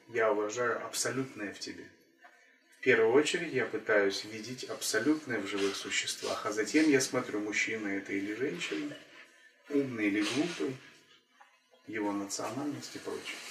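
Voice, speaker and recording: A man giving a calm, measured spiritual talk as a teacher, repeating key phrases. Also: medium pitch. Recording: roomy, distant from the mic.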